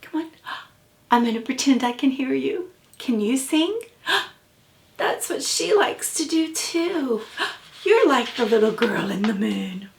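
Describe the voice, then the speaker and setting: Woman, children's read-aloud, high-pitched, very slow, playful, interactive, speaks straight to the listener. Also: lively intonation, gravelly, echoey room. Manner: playful, addressing listeners directly with questions. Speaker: children's author reading her book aloud.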